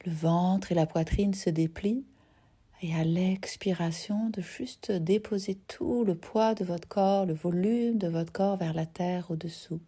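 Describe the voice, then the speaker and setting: A woman teaching yoga nidra, guiding a relaxation gently and unhurriedly in short phrases with soft, breathy endings. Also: lowish voice, steady tone, measured pace. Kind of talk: guided relaxation meditation.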